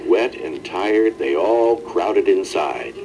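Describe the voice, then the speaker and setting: A man's voice: low voice, animated, slow, vocal fry, background noise. A man narrating a children's storybook record in a dramatic, scripted storytelling style. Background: faint background music.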